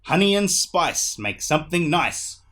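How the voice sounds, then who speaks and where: A man's voice: a little high, big pitch swings, measured pace, a bit bright. A man voicing a patient, instructive grandmother in a storybook, explaining things with emphasis. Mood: encouraging.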